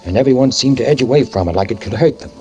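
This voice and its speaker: A man's voice: medium pitch, lively intonation, very fast, warm tone, lightly acted. An actor playing a guilt-ridden male hit-and-run driver who narrates tensely in the first person, in a 1940s radio drama. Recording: old radio broadcast with music.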